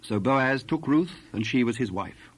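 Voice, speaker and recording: A man's voice: medium pitch, animated, unhurried, husky. A man narrating a dramatized Bible story in archaic English, reading from a script.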